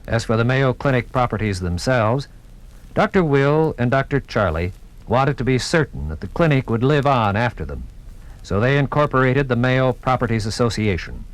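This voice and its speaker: A man's voice: medium pitch, very animated, moderate pace, rough recording. An American man narrating a scripted 1950s radio documentary with formal, dramatic announcer delivery. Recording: vintage 1950s radio recording.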